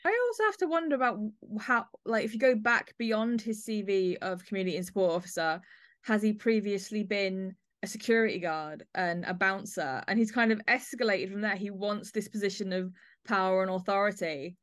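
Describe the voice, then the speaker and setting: A woman hosting a podcast, discussing drama in a measured, analytical way. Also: medium pitch, no room sound, clean studio sound. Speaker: podcast host. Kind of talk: discussing an audio play.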